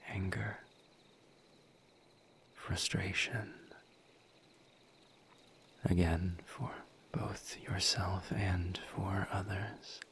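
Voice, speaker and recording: A man voicing a calm, formal misery demon in an audio roleplay, speaking softly in a breathy near-whisper with measured pauses. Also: low-pitched, noisy background, full character voice. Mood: calm, perceptive. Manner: measured, halting, formal diction with long pauses. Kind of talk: audio roleplay, intimate scripted monologue.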